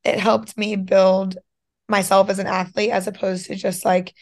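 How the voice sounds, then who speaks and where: A young woman with a slightly hoarse voice talks casually as a podcast guest. She is chatty and loose, scattering "like" and "you know" through her sentences. Her pitch sits in the middle range for a woman. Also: level tone, normal speed, darkish tone. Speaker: guest, professional softball player. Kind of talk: casual interview chat.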